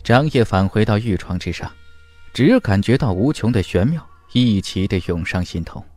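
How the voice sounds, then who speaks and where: A man narrating a fantasy audiobook in a dramatic scripted style, with emphatic, deliberate delivery. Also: fairly low pitch, expressive, a little mellow, faint music behind.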